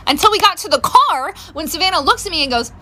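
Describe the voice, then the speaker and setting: A middle-aged woman telling a casual personal story in an animated way, acting out the dialogue as she goes. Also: very high voice, fast talker, heavy background noise. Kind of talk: casual social-media storytime.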